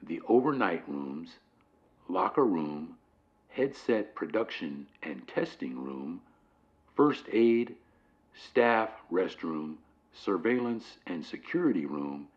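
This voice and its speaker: A man playing a calm, formal corporate training guide in an analog horror video, speaking deliberately with measured pauses on a dull lo-fi recording. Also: very deep voice, heavily acted. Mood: measured, instructive. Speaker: narrator of fictional company training guide. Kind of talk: scripted instructional narration, analog horror.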